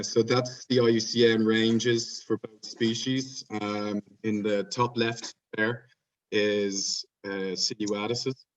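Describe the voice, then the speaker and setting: A man with an Irish accent, a PhD researcher giving an informal scientific presentation over a video call. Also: on the low side. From Ireland. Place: remote online video conference. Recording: distant-sounding video call microphone.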